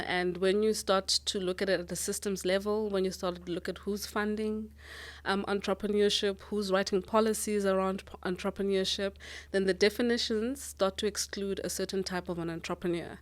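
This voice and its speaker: Earnest woman from Lesotho. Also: mid-range voice. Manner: earnest, thoughtful, with frequent ums and restarts. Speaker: guest, managing director. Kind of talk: interview answers.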